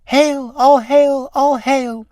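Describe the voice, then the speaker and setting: A woman's voice: sits high, steady tone, very slow, mellow, no echo. A woman playing ecstatic, short-lived moths in a stage play, declaiming verse like an incantation. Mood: exultant.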